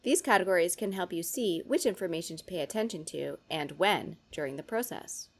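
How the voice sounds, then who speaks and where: A woman's voice: fairly low pitch, expressive, brisk. A woman presenting scripted instructional tips, addressing the viewer in an instructive manner.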